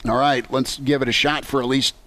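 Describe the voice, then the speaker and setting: A man's voice: medium pitch, level tone, fairly quick, fairly bright tone. A man with an American accent hosting a conversational sports radio discussion on location. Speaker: sports talk show host. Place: on location at a golf tournament.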